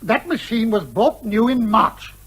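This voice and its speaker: An actor playing an indignant, short-tempered major, peremptory and spluttering, in a comic radio play. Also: male voice, high voice, animated, unhurried, fairly bright tone, husky, full character voice. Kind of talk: comic radio drama acting.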